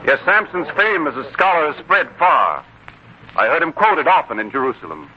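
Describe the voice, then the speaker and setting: Animated, commanding young heir on old radio. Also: male voice, a little high. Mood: earnest, insistent. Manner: measured, pointed, formal. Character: self-assured returning young heir, politely cutting and commanding. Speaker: radio drama actor. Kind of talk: 1940s radio acting. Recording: muffled, noisy 1940s radio broadcast.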